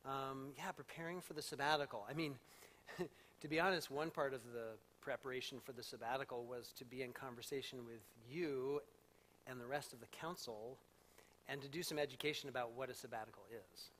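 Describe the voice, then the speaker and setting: A man's voice: medium pitch, fairly even intonation, fairly bright tone, a little hiss or hum, low-quality recording. A middle-aged man, a pastor, speaking candidly and thoughtfully into a handheld mic in a church sanctuary. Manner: thoughtful, candid, unhurried with fillers. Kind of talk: casual reflective talk before a congregation. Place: church sanctuary with a live audience.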